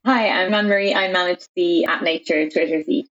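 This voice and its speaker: A woman's voice: on the low side, fairly even intonation, fairly quick, a bit bright, muffled recording. An Irish woman speaking casually as a guest on a podcast, with easy laughter. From Ireland. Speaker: social media editor, podcast guest.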